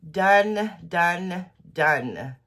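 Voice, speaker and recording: A woman vlogger with a head cold, sounding congested as she chats casually and rambles about her day. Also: on the low side. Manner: chatty, rambling, soft-spoken. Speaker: quilting vlogger. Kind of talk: casual daily vlog chat.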